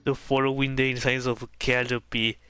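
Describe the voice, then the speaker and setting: A man's voice: medium pitch, flat delivery, moderate pace, bright, forceful tone, clear, resonant tone, no room sound, faint music behind. A man giving casual, offhand live commentary as he plays a game, muttering reactions. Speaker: game streamer.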